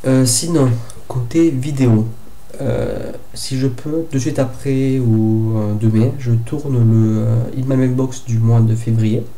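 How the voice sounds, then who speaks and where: A young man from France talks through a casual book review, stuffy from a head cold. His voice is very dark and mellow and stays flat in pitch. He hesitates often, filling gaps and restarting his sentences. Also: slow. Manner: hesitant, lots of fillers and restarts. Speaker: book vlogger.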